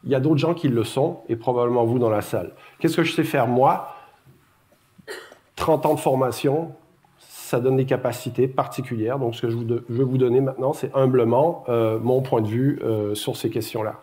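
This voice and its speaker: A man's voice: medium pitch, moderate pace, dark tone. A middle-aged man with a Québécois accent, giving a casual, self-deprecating public lecture with many fillers. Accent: Québécois French. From Quebec, Canada. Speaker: guest lecturer.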